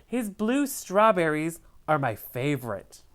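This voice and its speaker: A woman's voice: sits low, very animated, a little slow. A woman voicing opinionated, gossipy crayon characters from a children's storybook in an exaggerated manner. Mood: gushing admiration. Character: chattering, opinionated crayons judging a classmate.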